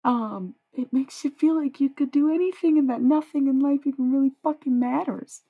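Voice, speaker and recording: A woman's voice: high voice, normal speed, phone-quality sound. A young woman livestreaming, chatting with viewers in a rambling, dreamy way and swearing freely. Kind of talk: casual livestream chat with viewers.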